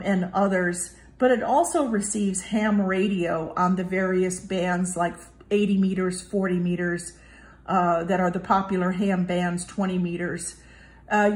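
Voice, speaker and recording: A woman giving a casual hands-on product review, talking in a measured, explanatory way. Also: mid-range voice.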